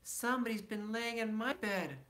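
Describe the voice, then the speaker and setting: Man voicing a displeased, high-pitched mother bear. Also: normal speed, bright, forceful tone. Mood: indignant. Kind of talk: children's storybook read-aloud.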